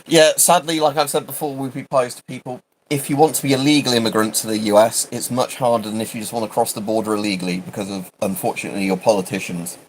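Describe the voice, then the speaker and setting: A man's voice: mid-range voice, fairly even intonation, fast, hardly any pauses, slight background noise, low-quality recording. A British man chatting casually on a livestream, rambling and hesitant, often interrupting himself. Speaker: livestream host.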